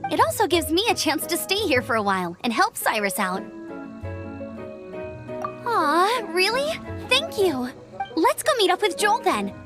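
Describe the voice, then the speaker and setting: A woman's voice: extremely high pitch, big pitch swings, unhurried, pauses often, over music, full character voice. A woman playing a perky, friendly and eager young female adventurer in a video game. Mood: upbeat.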